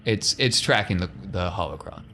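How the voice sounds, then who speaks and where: A man's voice: sits low, animated, normal speed, bright, forceful tone. A man acting as game master, narrating a tabletop roleplaying game in a casual, conversational way. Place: tabletop podcast recording.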